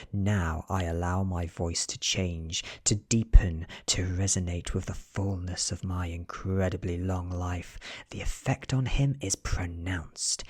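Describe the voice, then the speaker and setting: Slow, hushed, low British male ASMR reader. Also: husky. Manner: hushed, often dropping to a whisper. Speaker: audiobook reader. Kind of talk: soft-spoken ASMR story narration.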